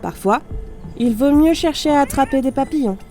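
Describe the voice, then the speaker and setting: A woman playing a damaged robot unit in audio fiction, speaking formally and precisely, polite, analytical and gently naive. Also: high-pitched, expressive, normal speed, soft background music. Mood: gentle, musing. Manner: formal, clipped system announcements and precise explanations. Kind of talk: scripted audio fiction monologue.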